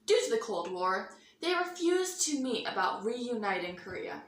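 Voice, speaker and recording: A woman's voice: fairly high pitch, sing-song, moderate pace, a bit bright. A woman reading a mock 1950s news bulletin as anchor, theatrical and sarcastic, recorded in a roomy space away from the mic. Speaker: news anchor in a student-style mock newscast. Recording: roomy echo, distant mic, faint noise.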